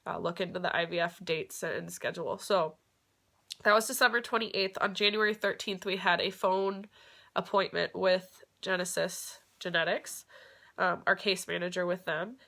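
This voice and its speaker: A young woman with a stuffed-up nose from a cold talks casually and openly, with plenty of ums and the odd laugh. Her voice is bright and forward. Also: fairly low pitch, steady tone, normal speed, vocal fry. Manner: chatty, many fillers, occasional laughs. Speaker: vlogger. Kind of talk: casual vlog explanation.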